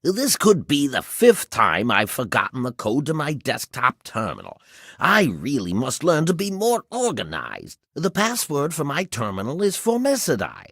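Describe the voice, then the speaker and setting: A man's voice: medium pitch, huge pitch swings, moderate pace, fairly bright tone, husky, no room sound, clean studio sound, heavily acted. A man playing a fussy, forgetful, self-chiding character recording a video game audio log. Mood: exasperated.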